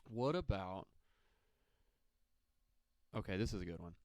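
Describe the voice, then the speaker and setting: A man from Mississippi co-hosting a podcast, chatting casually in a relaxed, rambling way and laughing often. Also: sits low, measured pace, no room sound. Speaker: podcast co-host. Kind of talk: casual chat.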